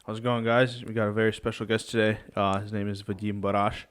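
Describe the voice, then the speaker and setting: A man co-hosting a podcast, asking questions in a halting way, often searching for words. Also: fairly low pitch, flat delivery, clean tone. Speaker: co-host. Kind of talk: casual podcast interview.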